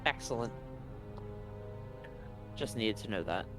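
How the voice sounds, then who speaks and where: A man's voice: fairly low pitch, lively intonation, slow pace, fairly bright tone, soft background music. A man acting as game master, casually narrating a tabletop roleplaying game with bantering, rambling asides.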